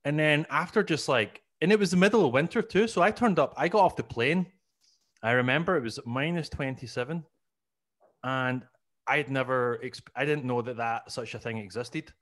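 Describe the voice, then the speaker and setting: A man's voice: mid-range voice, normal speed, fairly bright tone. A man in his thirties from Scotland with a Glaswegian accent, a comedian guest telling self-mocking stories in a casual interview, speaking into a studio microphone at home. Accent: Glaswegian Scottish. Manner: rambling, self-mocking, acts out his past self. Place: small room at home, video call. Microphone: studio mic on boom arm.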